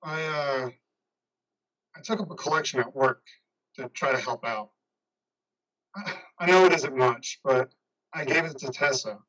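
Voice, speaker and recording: A man's voice: mid-range voice, unhurried, long pauses, pushed and bright, distant mic, rough recording. A man playing a loyal, awkwardly sympathetic buddy in an amateur play reading, casual and hesitant. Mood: awkward, supportive. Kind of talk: amateur reader's theater over video.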